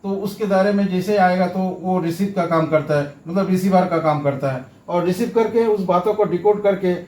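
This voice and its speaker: A man's voice: high-pitched, moderate pace, warm tone. A man presenting an informal how-to lesson, explaining step by step and often repeating his phrases. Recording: echoey room sound.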